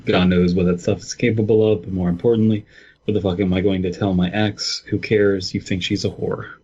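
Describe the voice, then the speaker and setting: A man's voice: low-pitched, flat delivery, fairly quick, mellow, husky, dull audio. A man hosting a comedy podcast, reading stories and joking in a dry, mock-formal way. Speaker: podcast host. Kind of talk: casual comedic chat and story reading.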